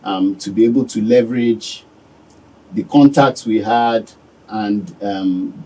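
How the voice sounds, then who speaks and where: A Nigerian man giving calm, measured answers as a guest in a radio studio. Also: on the low side, recorded from a distance, rough recording. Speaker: business owner guest.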